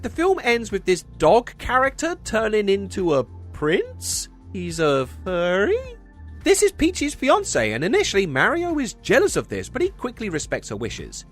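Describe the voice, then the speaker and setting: A man hosting a video essay, delivering scripted comedic narration in a wry way with deadpan asides. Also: high-pitched. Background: music under the voice.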